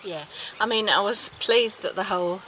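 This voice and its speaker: A woman's voice: medium pitch, normal speed, background noise. A middle-aged woman co-hosting a sailing vlog, chatting casually outdoors in a boatyard with short, practical replies. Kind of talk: casual chat.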